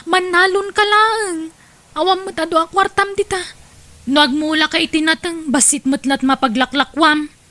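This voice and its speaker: A woman's voice: very high voice, steady tone, moderate pace, sharp tone, no echo. A woman with an Ilocano Filipino accent playing a stubborn, ambitious young wife and mother in an audio drama. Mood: insistent, impatient.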